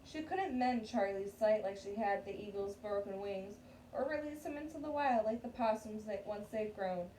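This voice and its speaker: A woman with a fairly high voice leads a children's story time like a classroom teacher. She reads aloud and talks to young pupils, checking in with short questions. The microphone sits away from her, and there is noticeable background noise. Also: a little mellow. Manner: addresses young pupils, frequent checking questions like "okay?" and "right?". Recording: distant mic, clear background room noise.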